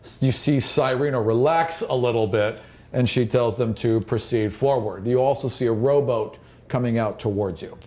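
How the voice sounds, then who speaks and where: Male game master, mid-pitched and mellow, narrating fantasy scenes descriptively with offhand asides. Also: normal speed.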